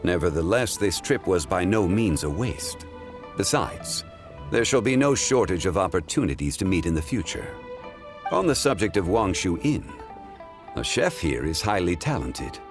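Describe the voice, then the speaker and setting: A man voicing an ancient, wise, scholarly gentleman in a video game cutscene, speaking in a formal, measured and reflective way. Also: deep voice, big pitch swings, music in the background. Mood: musing. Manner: formal, measured, eloquent, contemplative.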